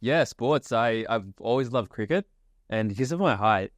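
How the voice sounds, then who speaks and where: A man's voice: mid-range voice, expressive, normal speed, dry recording, clean studio sound. A young man from Sri Lanka, a guest on an interview podcast, telling his story in an easygoing, casual way with plenty of fillers. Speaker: guest, agency founder. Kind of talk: relaxed interview answers.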